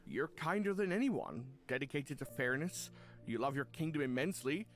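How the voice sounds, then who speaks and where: Man as a lively, fond reformed cartoon beast. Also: fairly high pitch, faint music behind, lightly acted. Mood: earnest encouragement. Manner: sincere, theatrical, halting when shy. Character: reformed male chaos beast, fond, playful, awkward. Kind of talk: animated fan drama dialogue.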